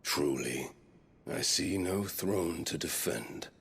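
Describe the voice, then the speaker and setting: A menacing game villain, a vengeful warlord with a very low, gravelly voice. He speaks slowly and deliberately, with theatrical mockery, over a faint rumble. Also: a bit bright, exaggerated voice. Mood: mocking, gloating. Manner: theatrical, slow, mocking, deliberate. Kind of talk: video game cutscene acting.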